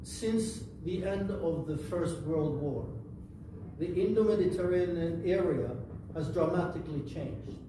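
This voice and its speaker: A man who grew up in Bangladesh speaks earnestly at a conference, pausing and restarting now and then. His voice sits slightly high. He is picked up from a distance in a very echoey, noisy room. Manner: earnest, measured, with pauses and small restarts. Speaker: invited speaker, political party leader. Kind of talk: formal conference speech.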